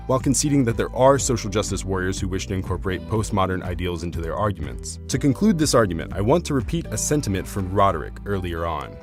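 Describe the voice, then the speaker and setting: A man narrating a scripted argumentative essay in a formal, measured, academic manner. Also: low-pitched. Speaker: video essayist.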